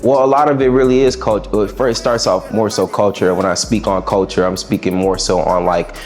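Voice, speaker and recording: A young man with a raspy, lowish voice talks through interview answers in an easygoing, reflective way with casual slang. Soft music plays faintly behind him. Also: steady tone, brisk. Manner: laid-back, reflective, slangy. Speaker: musician guest.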